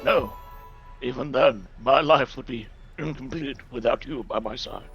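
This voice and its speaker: A man playing a pompous, suave gentleman chef in a comic dating game, courting in a theatrical, courtly way. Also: medium pitch, measured pace, vocal fry, dull audio, music in the background. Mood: earnest, romantic. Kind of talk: comic dating-sim voice acting.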